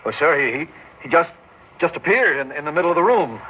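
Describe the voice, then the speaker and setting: A flustered colonel talks fast and stammers, his pitch jumping around. The old radio sound is dull with faint hiss. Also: breathy edge. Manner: stammering, excited. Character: flustered male army colonel, excitable.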